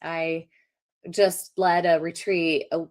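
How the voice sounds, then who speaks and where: A woman's voice: on the low side, little pitch movement, takes it slowly, a little mellow. A woman chatting casually and enthusiastically as a participant in a group conversation. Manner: enthusiastic, effusive. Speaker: audience participant. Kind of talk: casual chat.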